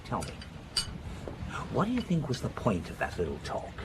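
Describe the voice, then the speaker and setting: A man's voice: medium pitch, wildly swooping pitch, normal speed, noisy background. An actor playing a frank, worldly professor in a radio drama, telling his story in a confiding, dramatic way. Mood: brisk, frank. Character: worldly, frank male professor recounting adventures.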